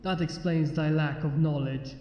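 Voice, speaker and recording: A man's voice: a little high, fairly even intonation, unhurried, darkish tone, voice pushed down, lightly acted. A man voicing a regal, formal female alicorn pony princess in a storybook, with archaic, stately speech. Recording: muffled, echoey long reverb under music.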